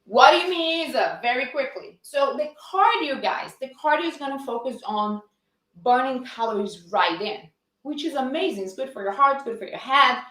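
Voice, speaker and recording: A woman's voice: high-pitched, expressive, normal speed, bright, forceful tone, roomy sound, poor audio. A woman with a Brazilian accent, a fitness coach teaching a live-streamed lesson, greeting viewers and answering her own questions with energy. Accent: Brazilian Portuguese.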